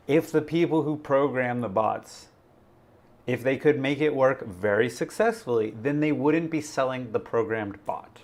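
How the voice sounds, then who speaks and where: A man casually hosting a livestream, rambling, laughing often and reading out viewer chat, his voice a little raspy. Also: medium pitch. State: slightly raspy voice. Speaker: livestream host.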